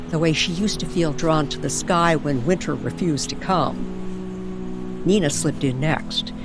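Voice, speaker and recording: A woman narrating a scripted story in an old-time radio style, with deliberate, hushed storytelling phrasing. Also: sits low. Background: music bed.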